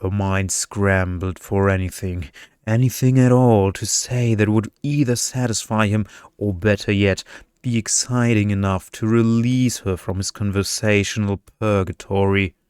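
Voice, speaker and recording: A man reads a bedtime ASMR story with deadpan deliberation. His voice is low and very smooth, and he takes his words very slowly, close to the mic. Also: no echo. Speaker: story narrator. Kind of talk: slow ASMR storytelling for sleep.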